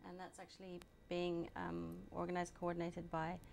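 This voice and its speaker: Even-toned woman with a faint hum behind. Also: on the low side, creaky. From England. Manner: measured, hesitant with many ums. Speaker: guest, group founder. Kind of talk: interview answers.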